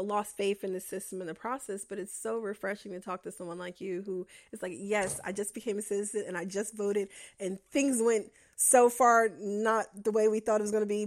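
A woman's voice: mid-range voice, a little mellow. A woman interviewing a guest in a casual, enthusiastic way, with many fillers and restarts. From lives in Atlanta.

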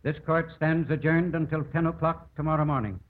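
Actor as a stern judge, noisy old radio. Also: male voice, a little high, fairly even intonation, lightly acted. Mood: stern, official. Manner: measured, authoritative. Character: stern, formal male judge presiding over court. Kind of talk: old-time radio courtroom drama. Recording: noisy, narrow tinny old radio broadcast.

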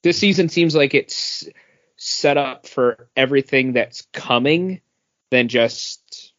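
Slow-talking, tipsy man in casual chat. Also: mid-range voice, fairly bright tone, no room sound, lo-fi sound. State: tipsy from drinking. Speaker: podcast co-host.

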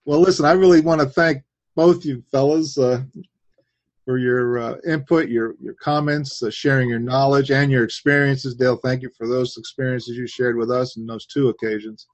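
A man with a New Jersey accent hosting a casual religious talk show, speaking in a hesitant, halting way. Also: mid-range voice, fairly even intonation. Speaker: host. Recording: dull lo-fi sound.

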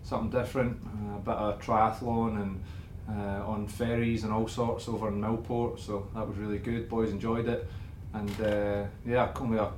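A man's voice: fairly low pitch, flat delivery, moderate pace, few pauses, darkish tone. A young man who plays rugby professionally, chatting casually as a podcast co-host. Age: young adult. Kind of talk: casual chat. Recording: roomy echo with faint background noise.

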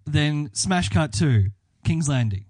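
A man co-hosting a casual chat, agreeable and quick to laugh, often chiming in with short replies. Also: fairly high pitch, animated, darkish tone, no room sound. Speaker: podcast co-host. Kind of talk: casual chat recapping a TV episode.

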